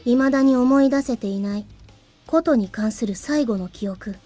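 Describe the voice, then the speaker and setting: A woman voicing a mysterious young boy in a visual novel, speaking in a measured, portentous way. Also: on the high side, warm tone, lightly acted. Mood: calm, solemn. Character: mysterious, solemn young boy offering power. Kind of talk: Japanese visual novel voice acting. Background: game music.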